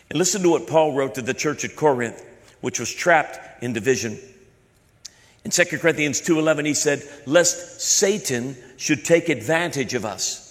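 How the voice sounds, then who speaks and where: A male pastor from the American South preaching a sermon with repeated, building phrases. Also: mid-range voice. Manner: preaching with repetition and building emphasis. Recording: echoey hall with long reverb.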